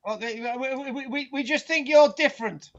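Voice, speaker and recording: A woman's voice: high voice, moderate pace, dull audio. A woman hosting a casual group chat. She teases the others and often restarts her sentences. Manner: stammering restarts, teasing, chatty.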